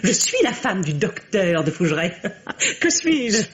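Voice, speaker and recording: An actress playing a bitter, unhappy middle-aged wife in a French radio drama, halting and repeating words with volatile emotion. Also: female voice, mid-range voice, sing-song, husky. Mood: hysterical, mocking. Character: bitter, grey-haired, unhappy wife, trapped and theatrical.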